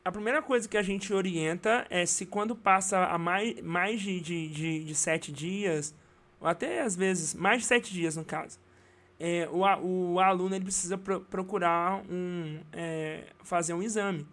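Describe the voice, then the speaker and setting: A man with a Brazilian Portuguese accent teaching singing in a live online class, talking casually with many restarts and quick checks. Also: high voice, fairly bright tone. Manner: casual and rambling, many restarts and tag questions.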